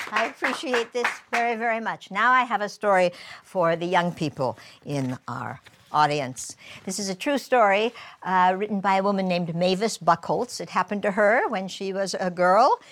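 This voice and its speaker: A woman's voice: medium pitch, fairly bright tone. Woman telling a true story like it happened to her, earnest and personal, medium voice. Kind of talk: first-person historical storytelling. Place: performance studio with audience.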